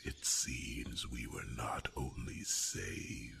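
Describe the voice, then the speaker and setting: Ancient weary dragon, fantasy roleplay: rock-bottom pitch, heavy gravel, courtly and gentle, halting delivery. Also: male voice, expressive, whispered, full character voice. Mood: weary, wondering. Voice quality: gravelly breathy growl. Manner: slow, halting, rumbling hums between phrases.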